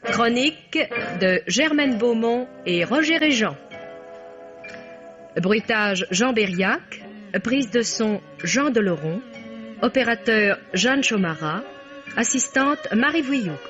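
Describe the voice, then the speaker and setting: A formal announcer reads scripted credits very slowly, name by name. The delivery is measured and list-like. Music plays behind, and the recording is muffled like old radio. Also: mid-range voice, animated. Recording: dull vintage radio recording.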